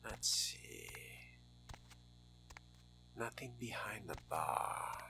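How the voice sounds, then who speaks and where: A man's voice: lowish voice, huge pitch swings, extremely slow, vocal fry, pushed and bright, whispered, heavily acted. A man playing a refined, sardonic vampire in a video game roleplay, speaking formally and theatrically in dry, often murmured asides. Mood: hushed, brooding. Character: refined, sardonic male fledgling vampire exploring a haunted hotel.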